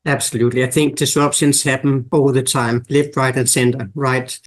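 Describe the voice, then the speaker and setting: A man with a Danish accent, a guest expert on a podcast interview, explaining in a measured way and listing examples. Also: mid-range voice, level tone. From Denmark.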